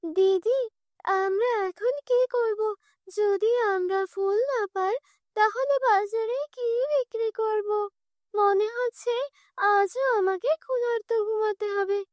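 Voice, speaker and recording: A woman's voice: extremely high pitch, steady tone, takes it slowly, a little mellow, no echo, clean studio sound. A woman voicing a poor young orphan girl in a children's cartoon, earnest and pleading. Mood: wistful, eager.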